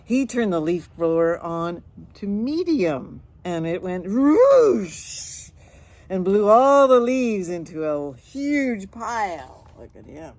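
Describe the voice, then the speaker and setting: Slow-paced woman reading a picture book. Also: medium pitch, dry recording. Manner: expressive, drawn-out words and sound effects. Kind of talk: children's read-aloud with chatty asides.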